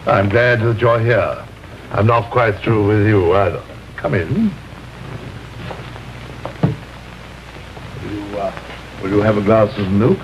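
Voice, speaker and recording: Casting: polite, sinister otherworldly gentleman, hesitant and formal, 1950s TV drama, dull noisy sound. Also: on the low side, expressive, moderate pace. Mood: politely menacing. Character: genteel, menacing male alien in human form, courteous. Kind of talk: 1950s live television drama acting. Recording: muffled, noisy vintage television soundtrack.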